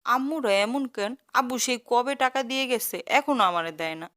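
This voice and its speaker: A woman's voice: a little high, lively intonation, a little fast, very noisy, close to an everyday voice. A woman with a Bangladeshi accent voicing a cheeky, dramatic young daughter in a cartoon comedy. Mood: grumbling.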